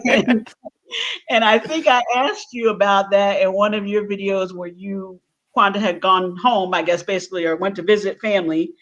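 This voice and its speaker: A woman's voice: medium pitch, steady tone, moderate pace, fairly bright tone. Chatty woman host on a livestream, laughs a lot, kinda dull lo-fi sound. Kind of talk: casual livestream interview.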